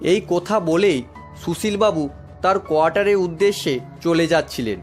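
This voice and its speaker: A man's voice: a little high, normal speed, faint music behind. A man giving scripted narration of a horror story as its storyteller.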